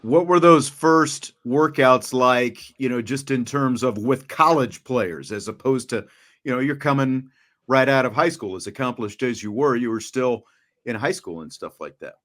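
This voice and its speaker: A man's voice: mid-range voice, moderate pace, a bit bright. A man interviewing a young athlete in a chatty, conversational way, often restarting and repeating words. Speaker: sports broadcaster, interviewer.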